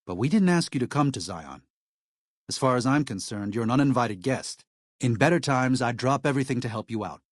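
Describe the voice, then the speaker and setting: A man playing an earnest, weary missionary medic in a video game, protective and anxious yet firm, speaking in a measured, serious, moralizing way. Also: medium pitch, no room sound, clean studio sound, natural voice. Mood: stern, irritated. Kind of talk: video game character dialogue.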